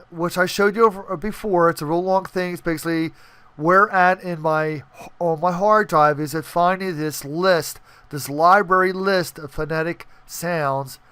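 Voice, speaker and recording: A man's voice: high voice, unhurried, bright, forceful tone, clean tone, no echo. A man presenting an informal programming tutorial, explaining step by step and checking in with the listener. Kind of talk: informal screencast tutorial walkthrough.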